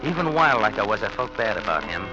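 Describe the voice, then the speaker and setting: An American actor playing a cold, unhinged wife-killer who confesses with jittery menace in a vintage radio thriller. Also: male voice, on the low side, very animated, fast talker. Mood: brooding menace. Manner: menacing, taunting endearments. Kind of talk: 1940s-50s radio thriller acting, first-person narration. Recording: muffled vintage radio recording, loud music behind.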